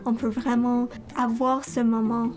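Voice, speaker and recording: A young woman student, a native English speaker, speaking French in an eager, lively outdoor interview. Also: on the high side, a little slow. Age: young adult. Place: outdoors by a building.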